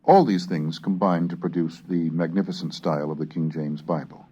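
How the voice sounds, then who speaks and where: A man's voice: low voice, normal speed, dark tone, slightly rough. Formal, oratorical man on dull tape. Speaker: lecturer and reader. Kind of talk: scripted educational narration with dramatic readings. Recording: dull lo-fi cassette recording.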